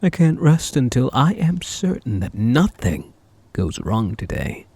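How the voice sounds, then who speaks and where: A man performs an obsessive male kidnapper in a close, dry ASMR roleplay. His soft, dark voice is husky and slides from syrupy endearments into giddy menace. He is possessive and taunting, relishing his control. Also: mid-range voice, big pitch swings, measured pace, no room sound, exaggerated voice. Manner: cloying endearments, mock-sweet taunting, swinging into giddy mania. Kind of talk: ASMR roleplay, intimate dramatic monologue.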